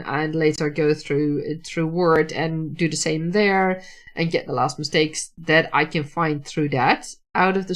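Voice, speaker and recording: A Dutch woman speaking English as a second language, talking casually about writing on a livestream with many restarts and fillers. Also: fairly low pitch. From Netherlands. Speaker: writer hosting a livestream.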